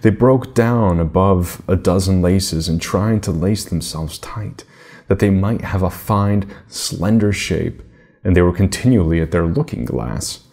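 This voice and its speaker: A middle-aged man narrating a children's fairy tale in a measured, deliberate manner. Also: sits low, a little mellow. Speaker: storybook reader.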